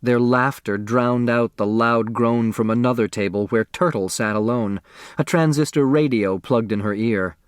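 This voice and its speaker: A man narrating a novel as an audiobook, in a measured, expressive storytelling manner. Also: mid-range voice, clear, resonant tone, dry recording, clean studio sound.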